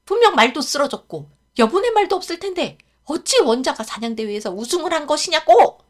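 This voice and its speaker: A woman's voice: high-pitched, very animated, fairly quick, voice pushed up. A woman voicing a scheming male court official who rants and wails in a Korean storybook reading. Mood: furious. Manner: wailing, fuming outbursts.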